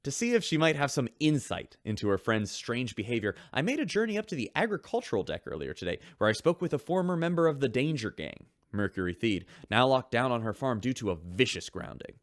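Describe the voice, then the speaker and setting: A man's voice: medium pitch, sing-song, a little fast, few pauses, no room sound, clean studio sound, close to an everyday voice. A man voicing a chatty, wry radio news host aboard a starship, reading scripted reports.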